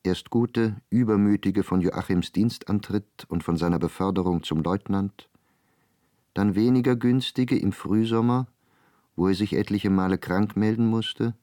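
A composed literary storyteller, read by a man for a radio play. His voice is low and recorded without room sound. He narrates in polished prose with dry irony. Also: measured pace, warm tone, no echo, natural voice.

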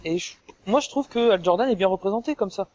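A man's voice: sits high, animated, fast talker, a little mellow, dry recording. A man on a casual panel, talking with many fillers and restarts. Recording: dull lo-fi sound.

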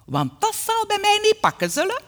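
A woman's voice: high-pitched, sing-song, unhurried, a bit bright, pitched above the performer's own voice, exaggerated voice. A woman voicing a domineering, scheming Flemish mother who commands her household in a firm, biting manner. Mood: commanding, scolding.

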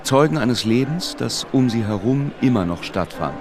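An actor narrating a literary radio play as a solemn, measured storyteller. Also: male voice, medium pitch, expressive, music in the background, natural voice. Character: solemn storyteller recounting a deportation.

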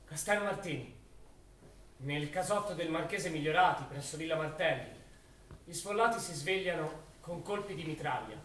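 An actor playing a grave narrator who recounts wartime events in scripted theatrical narration. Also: male voice, a little high, animated, normal speed, a bit bright, echoey room, recorded from a distance, low-quality recording. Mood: grave, suspenseful.

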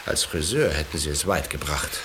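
A man's voice: rumbling low, expressive, normal speed, background noise. An actor playing an ailing, fed-up stranded man in a radio drama, dry, grumbling and sarcastic. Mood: sardonic.

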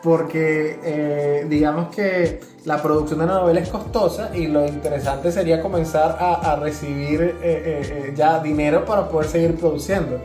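A man's voice: on the high side, fairly even intonation, normal speed, few pauses, echoey room, over music. A man with a Venezuelan accent explaining things in casual podcast chat, repeating words as he thinks. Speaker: podcast co-host.

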